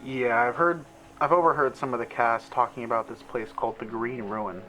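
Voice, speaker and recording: A man playing a cautious, reluctant guard in an audio drama, speaking in a measured, understated, plain way. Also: medium pitch, fairly even intonation, natural voice, low-quality recording. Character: cautious high-level guard, reluctant loyal old friend. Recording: prominent music behind the voice.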